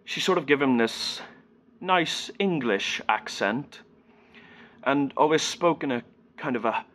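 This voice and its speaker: A man performing a dramatic monologue as a grown son, wistful and halting as he recalls his childhood. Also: mid-range voice, lively intonation, a bit bright, voice pushed up. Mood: fond, wistful. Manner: halting, subdued, reflective.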